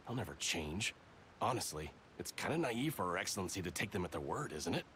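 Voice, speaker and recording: A man's voice: low-pitched, big pitch swings, a little fast, a bit bright, slight background noise. A man voicing an indignant, distrustful young soldier in a video game, with an emphatic, grumbling delivery. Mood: indignant, scornful.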